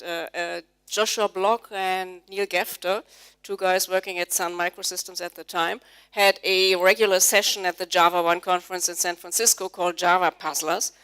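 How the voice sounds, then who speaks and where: A woman's voice: mid-range voice, a bit bright, clean tone. A middle-aged woman whose first language is German, giving a live technical talk to a conference audience and polling the listeners with questions. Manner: explanatory, polls the audience with questions. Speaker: conference speaker, Java trainer. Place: conference hall before an audience.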